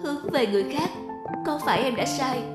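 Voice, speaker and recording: A woman's voice: high-pitched, fairly quick, close to an everyday voice. A woman voicing a vain young mother in a cartoon, breezy and easily defensive. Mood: hurt, regretful. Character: vain young mother, self-absorbed, quick to bristle. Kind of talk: animated cartoon dialogue. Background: music.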